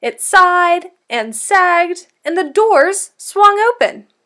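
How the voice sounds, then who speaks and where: A woman with a high-pitched voice reads a picture book aloud as a teacher would for children. Her delivery is deliberate and instructive, made to be followed by young listeners. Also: animated, pushed and bright. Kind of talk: interactive storybook read-aloud for children.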